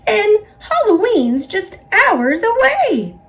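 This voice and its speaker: A woman's voice: very high voice, sing-song, slow pace, voice pushed up, exaggerated voice. A woman voicing a bossy, frustrated witch for a children's storybook, complaining in an insistent singsong. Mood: exasperated, insistent. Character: bossy, frustrated female witch guarding her pumpkin.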